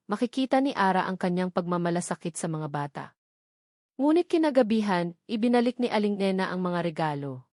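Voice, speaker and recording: A woman storyteller delivers a scripted love story in a measured, dramatic way. Her voice sits at a middling pitch. The recording is very dry, with no room around it. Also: darkish tone, no room sound. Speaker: story narrator. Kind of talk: scripted narration of a romance story.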